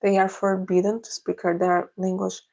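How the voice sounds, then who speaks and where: Brazilian livestream host, young woman, gravelly voice, halting English, relaxed chat. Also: medium pitch. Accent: Brazilian Portuguese. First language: Portuguese. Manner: halting, searching for English words. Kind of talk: casual livestream Q&A, answering chat.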